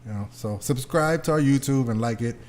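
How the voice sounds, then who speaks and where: A man's voice: mid-range voice, a little fast, darkish tone. A man hosting a podcast, chatting casually with the group. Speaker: podcast host.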